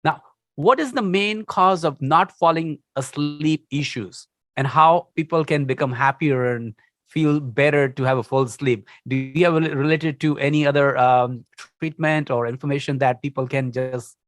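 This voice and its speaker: A man's voice: a little high, normal speed, a bit bright, no echo. Effusive male interview host, dry sound. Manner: effusive, praises often, hesitant fillers. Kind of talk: interview questions.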